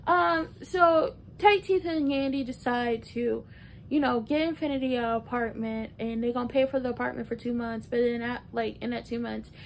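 A woman in her early twenties giving casual, opinionated and emphatic recap commentary, talking conversationally like a video blogger. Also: creaky. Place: small bedroom, indoors. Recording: noisy room with low rumble.

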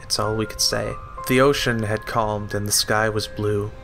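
A man's voice: lowish voice, little pitch movement, normal speed, creaky, fairly bright tone. An actor playing an earnest, uneasy younger lighthouse assistant who also narrates his memories in an audio drama. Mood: reflective. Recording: music under the voice.

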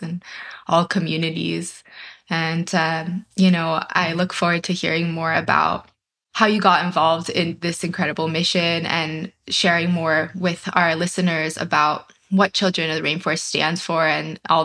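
A woman hosts a reflective interview in a slightly low voice. Her intonation stays flat and level. She phrases things deliberately, with an earnest, reverent manner. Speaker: podcast host.

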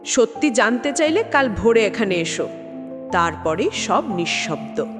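A woman voicing the slow, solemn ghost of a wronged young woman in a horror story. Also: mid-range voice, sing-song. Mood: eerie, sorrowful. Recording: long reverb, clear background music.

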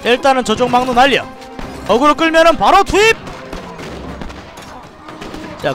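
An excitable man with a Daegu dialect streams a strategy game, his high voice leaping around as he shouts commands. Between bursts he drops to low mutters and asides to viewers. Faint music plays underneath. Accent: Gyeongsang (Daegu) dialect. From Daegu, South Korea. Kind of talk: live game commentary.

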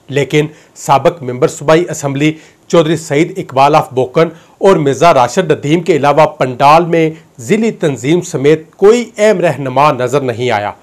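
Formal Pakistani Urdu man presenting news. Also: a little high. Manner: formal, declamatory, with gesturing hands. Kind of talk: scripted political news commentary. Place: studio in front of a dark backdrop. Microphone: handheld mic held at chest.

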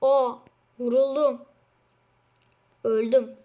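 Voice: medium pitch, level tone, extremely slow, muffled recording. A child chatting casually as a co-player during a video game. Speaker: gamer, co-player. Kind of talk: casual chat during gameplay.

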